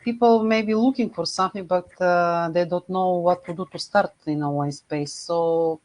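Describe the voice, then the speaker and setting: A woman with a Bulgarian accent hosts over a video call, her voice sitting on the lower side. She asks questions in a friendly way, with slightly halting phrasing and the odd filler. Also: steady tone, darkish tone. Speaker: host. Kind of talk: casual video-call interview.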